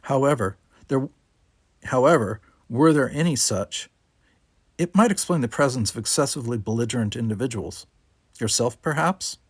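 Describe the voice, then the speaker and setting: A man's voice: medium pitch, animated, moderate pace, husky. Man as a detached young Vulcan woman, formal. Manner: formal, unemotional, precise. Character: cool, detached young female Vulcan cadet, precise.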